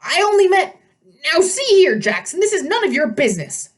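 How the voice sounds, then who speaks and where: Woman putting on a huffy, pompous old satyr, pitched up. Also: sing-song, moderate pace, far from the mic. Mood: flustered, blustering. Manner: huffy, blustering, stammering when flustered. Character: very old, fat, indignant male satyr, pompous blusterer.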